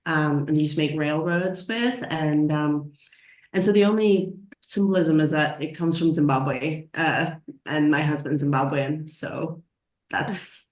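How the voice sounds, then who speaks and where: Low-voiced woman, mixed accent, echoey room. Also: a little slow, a little mellow. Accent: mixed American and Australian. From Mexico. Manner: chatty, laughs often, many fillers. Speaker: author guest. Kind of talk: casual chat. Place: home, in London. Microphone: headphones on.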